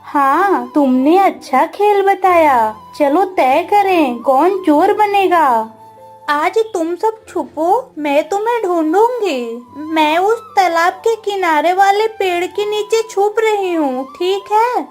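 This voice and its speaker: Woman doing a little village girl for a cartoon, super high voice, slow n earnest, music underneath. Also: darkish tone. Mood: playful, assertive. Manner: earnest, childlike. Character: young village girl, pleading, stubborn, tender-hearted daughter. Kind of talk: Hindi cartoon story dialogue.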